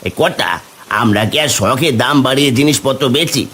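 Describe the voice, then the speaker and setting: A man voicing a defiant village market vendor in a cartoon, arguing forcefully. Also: medium pitch, fairly quick, slightly rough. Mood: pleading. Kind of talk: cartoon character dialogue.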